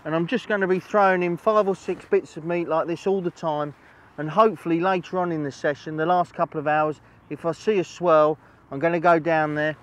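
A man's voice: fairly high pitch, steady tone, a little fast. A middle-aged man presents a chatty, instructional fishing demonstration, explaining as he goes and often repeating phrases. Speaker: angling presenter. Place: outdoors on a lakeside bank.

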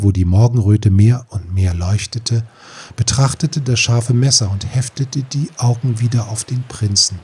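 A man narrating a fairy tale audiobook in a measured, literary storytelling manner. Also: fairly low pitch, slight background noise. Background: soft music.

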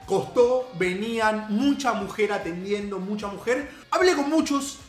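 Man, thirties, Buenos Aires accent, animated teasing prank caller, wide swings of pitch, roomy sound with music. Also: unhurried. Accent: Buenos Aires Spanish. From Buenos Aires, Argentina. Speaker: prank caller, YouTube host. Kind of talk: playful prank phone call banter. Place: small home room.

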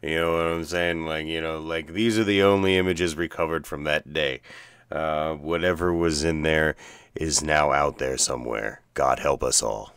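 Very deep-voiced man hosting a casual show. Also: fairly even intonation, moderate pace, fairly bright tone, smooth, dry recording. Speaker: host of a reaction show. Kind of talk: casual reaction commentary to viewers.

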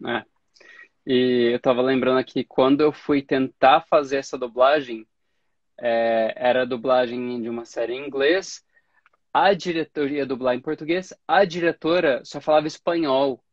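A man's voice: mid-range voice, normal speed, no room sound, lo-fi sound. A man in his thirties from Brazil, hosting a casual interview over a video call and telling stories with a laugh. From Brazil, Campinas. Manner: chatty, storytelling, laughs easily. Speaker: host. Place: small room at home. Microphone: video call webcam.

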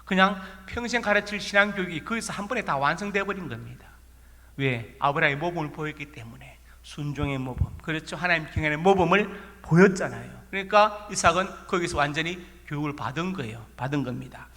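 A man's voice: fairly high pitch, sharp tone. A male pastor with a Korean regional accent preaching a sermon in a folksy, storytelling way, asking rhetorical questions. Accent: Korean regional dialect. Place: church sanctuary. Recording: long church reverb.

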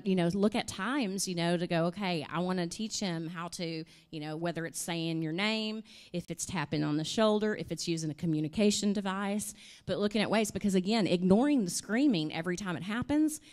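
A woman, presenting at a conference workshop in a hotel room and answering questions in an animated, conversational way through a handheld microphone. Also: lowish voice, a little fast. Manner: animated, conversational, uses "you know" and "I mean". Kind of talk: workshop talk with audience questions.

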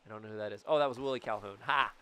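Excitable man yelling over gameplay. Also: lowish voice, very fast, slight background noise. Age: 30s. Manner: excitable, jokey, bursts into loud yells. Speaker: gaming YouTuber. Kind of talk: gameplay commentary. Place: small room at home. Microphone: studio mic on boom, webcam framing.

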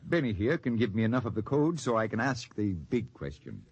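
Resolute sci-fi doctor, vintage radio play; low, husky, animated. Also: male voice, brisk, no echo, muffled recording, full character voice. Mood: earnest, determined. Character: earnest, resolute expedition doctor. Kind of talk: 1950s radio drama acting.

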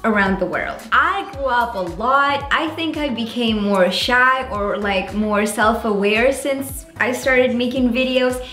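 A bubbly young woman in her twenties, a native Italian and Russian speaker, introduces her vlog to the camera. Her voice sits a little high and she talks slowly and carefully. The small room rings with a roomy echo, and faint music plays underneath. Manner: bubbly, animated, careful deliberate delivery. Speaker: vlogger. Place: small indoor room with pastel tassel backdrop.

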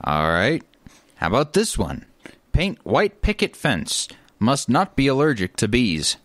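Man playing an eager, animated brother. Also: medium pitch, unhurried, no echo, exaggerated voice. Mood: encouraging, playful. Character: inventive, eager, helpful brother with odd ideas. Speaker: actor in a family radio comedy. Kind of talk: family comedy radio play acting.

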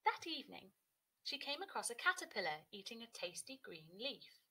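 Animated woman reading a children's story. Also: fairly high pitch, moderate pace, slightly rough. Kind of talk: expressive children's story narration.

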